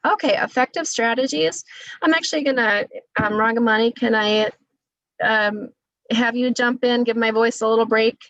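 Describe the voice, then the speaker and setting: A woman's voice: a little high, steady tone, moderate pace, a bit bright, no echo, highs cut off. A woman speech therapist presenting a webinar in a conversational way over a video call. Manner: conversational, with fillers.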